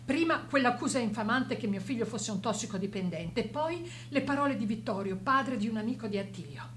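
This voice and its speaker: A woman's voice: fairly high pitch, animated, a little fast, slight background noise, natural voice. An actress playing an older grieving mother who refuses to believe, in a pained, dramatic radio drama monologue. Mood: pained, indignant. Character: grieving, unbelieving mother of a dead doctor.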